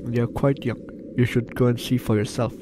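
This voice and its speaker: A man's voice: medium pitch, fairly even intonation, normal speed, noisy background. A man with the flu doing let's play commentary, reading game text aloud and joking in a repetitive, bantering way. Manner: jokey, repeats phrases, banters with characters. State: sick with flu. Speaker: gaming YouTuber.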